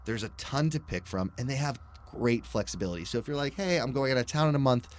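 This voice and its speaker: A man's voice: mid-range voice, fast talker. A man speaking as a podcast host in an informal, conversational sponsor read.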